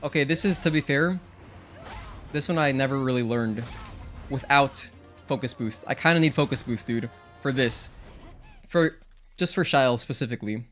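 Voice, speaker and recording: A young man whose first language is Spanish talks casually through his gameplay, thinking out loud and counting off combo hits. His voice sits at a middling pitch. Faint music plays behind him. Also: normal speed, pauses often. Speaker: streamer.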